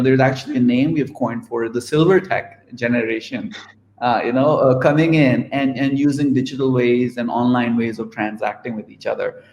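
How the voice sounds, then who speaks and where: A man's voice: medium pitch, slight background noise. Guy on a video panel, chatty, lots of you know and right, echoey room. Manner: relaxed, conversational, frequent "right?" and "you know". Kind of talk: online panel discussion. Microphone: video call.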